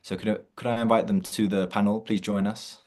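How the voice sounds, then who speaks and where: Relaxed man hosting a video-call meditation. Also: fairly low pitch, fairly quick. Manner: relaxed, many fillers and restarts. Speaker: meditation session host. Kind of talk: casual online session introduction.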